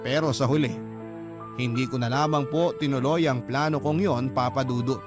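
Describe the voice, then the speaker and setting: A man's voice: medium pitch, steady tone, moderate pace. A man reading a scripted first-person letter as the narrator of a radio drama. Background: clear background music.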